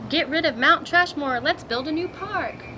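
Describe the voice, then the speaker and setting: An earnest, determined little schoolgirl from a picture book, voiced by a woman reading aloud. The voice is fairly high and speaks in simple, emphatic phrases, over clearly audible noise. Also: moderate pace, close to an everyday voice. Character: young second-grade girl, earnest and determined.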